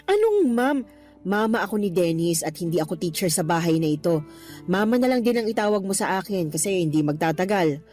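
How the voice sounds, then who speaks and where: An actress in a Filipino radio drama playing a warm, playful middle-aged mother. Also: medium pitch, lively intonation, moderate pace, soft background music, natural voice. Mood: playfully scolding.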